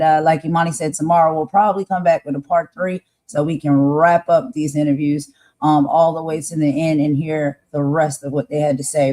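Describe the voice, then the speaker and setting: A man co-hosting a live stream, raspy-voiced, speaking earnestly about values and calling his audience y'all. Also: fairly high pitch, a little mellow. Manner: preachy, earnest, addresses viewers as y'all. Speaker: co-host. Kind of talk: casual live-stream talk.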